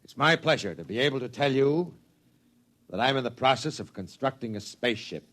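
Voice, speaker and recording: A man's voice: mid-range voice, lively intonation, brisk, a bit bright, close to an everyday voice. Guy playing a bossy rich industrialist in an old 50s radio play, clipped orders, proud and stubborn. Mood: proud, businesslike. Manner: commanding, curt, formal. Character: domineering, stubborn wealthy industrialist, proud and short-tempered. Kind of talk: 1950s radio drama acting. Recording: vintage 1950s radio recording.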